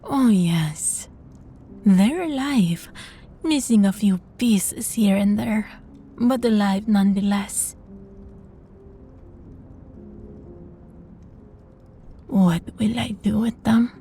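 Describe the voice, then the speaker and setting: A woman's voice: medium pitch, slow pace, warm tone, a little hiss or hum. A woman playing a possessive, sadistic vampire master in an intimate audio drama, teasing and condescending, often sinking toward a whisper. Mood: smug, menacing.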